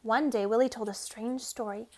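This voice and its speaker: A woman reading a children's storybook aloud as an expressive narrator. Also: on the high side, normal speed. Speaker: storybook reader.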